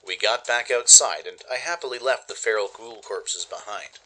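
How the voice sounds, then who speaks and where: A man's voice: lowish voice, normal speed, bright, forceful tone, rough recording. A man giving dramatic first-person scripted narration as the narrator of a radio play.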